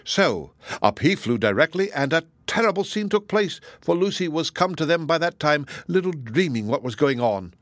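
A man's voice: a little high, big pitch swings, normal speed, hardly any pauses, no room sound, pitched above the performer's own voice. A man voicing a chatty, good-hearted older matron in an audiobook, gossiping in a rambling, exclamatory and indignant way. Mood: excited, pitying. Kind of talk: audiobook character dialogue.

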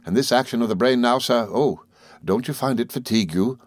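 A man's voice: mid-range voice, dry recording, voice pushed up, close to an everyday voice. A man doing a meek, timid little country doctor. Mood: meek, fluttered. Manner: slow, apologetic, hesitant, with deferential sirs.

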